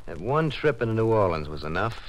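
A shrewd, cocky American tough in a vintage radio play. His voice is on the low side, with an insolent, wisecracking swagger, over a noisy old recording. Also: big pitch swings, a little fast. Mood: smug, taunting. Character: cocky, shrewd male workman turned blackmailer. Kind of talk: 1940s-50s radio drama acting.

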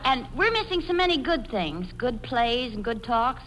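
An earnest, polite wife on old-time radio. Her voice is high and bright, carried on a slightly noisy vintage recording. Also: big pitch swings, normal speed.

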